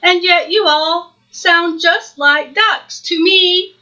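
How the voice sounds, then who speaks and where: A woman's voice: extremely high pitch, slow, pushed and bright, dull audio, pitched above the performer's own voice, heavily acted. A woman voicing a silly, gleeful male puddle duck from a storybook, playfully honking and clucking.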